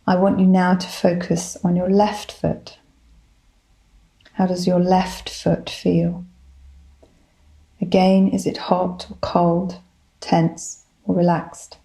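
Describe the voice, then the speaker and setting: A woman leading a guided body scan meditation, giving gentle, soothing instructions in a calm manner. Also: on the low side, slow pace, long pauses, rough recording. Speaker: psychologist, guided meditation leader.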